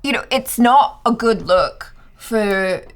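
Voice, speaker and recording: A woman's voice: a little high, slow pace. A young adult woman co-hosting a film reaction show, chatting casually and animatedly with little quoted asides. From half Thai. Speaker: film trailer reaction co-host. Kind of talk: casual chat.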